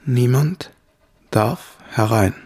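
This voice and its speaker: An actor playing a sick, secretive man in a radio drama, weak and raspy as he gives instructions. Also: medium pitch, very slow, a little mellow. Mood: insistent. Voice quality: raspy, creaky. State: weak, feverish. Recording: music behind the voice.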